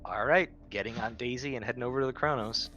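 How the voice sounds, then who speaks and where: A man's voice: mid-range voice, quick pace, sharp tone, slight background noise. A man playing a tabletop roleplaying game, talking casually in character with blunt, sweary delivery.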